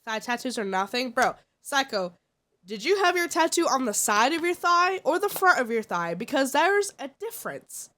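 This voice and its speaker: Woman streamer, slightly high voice, stuffy nose, frank and opinionated casual chat. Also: big pitch swings, normal speed, sharp tone. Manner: blunt and opinionated. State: congested.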